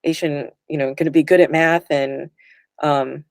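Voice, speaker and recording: A woman's voice: sits low, fairly even intonation, normal speed, a little mellow, no room sound. A woman teacher being interviewed over a video call, talking casually and a bit hesitantly. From United States. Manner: hesitant, laughs often. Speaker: guest teacher.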